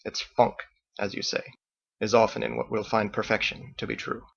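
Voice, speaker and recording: A man playing a wry, courteous, ageless sailor captain in an audiobook story, speaking in a measured, courtly way. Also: lowish voice, little pitch movement, gravelly, fairly bright tone, highs cut off. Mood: musing.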